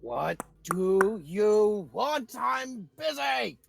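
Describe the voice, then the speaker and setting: A man's voice: high voice, very animated, very slow, gravelly, fairly bright tone, no room sound, heavily acted. A man voicing a grumpy, irritable talking door guardian in a tabletop game, complaining in an exasperated manner. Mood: irritated shouting.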